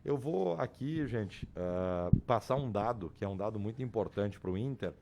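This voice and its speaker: Guy losing his voice, talking football news, low and mellow, explains stuff patiently. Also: lively intonation, normal speed, gravelly. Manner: explanatory, restarts and repeats names. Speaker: sports commentator. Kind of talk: radio sports news report.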